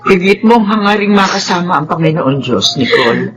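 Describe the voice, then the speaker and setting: An actress in a Filipino radio drama playing a stern, pious older aunt who scolds emphatically but cares. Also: female voice, fairly low pitch, animated, moderate pace, slight background noise, close to an everyday voice, low-quality recording. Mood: stern, scolding.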